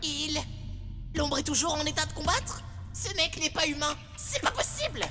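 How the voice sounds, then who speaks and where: A woman's voice: sits high, expressive, moderate pace. A woman playing an anxious, earnest young team member in an anime fan dub, with a dramatic manner. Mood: astonished. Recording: long reverb, loud music behind.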